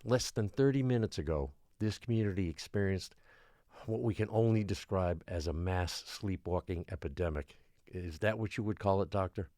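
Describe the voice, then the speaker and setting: A man playing an exasperated, forceful small-town mayor in an audio drama, speaking bluntly and officially. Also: deep voice, normal speed, no room sound, studio quality, natural voice. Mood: grave, official. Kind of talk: dramatic podcast acting.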